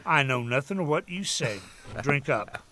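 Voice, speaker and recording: A man's voice: mid-range voice, animated, normal speed, noisy background, natural voice. An actor playing an older country farm father, dry-humored and folksy, in a radio drama. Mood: conspiratorial. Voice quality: folksy, conspiratorial. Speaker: Ernie's father.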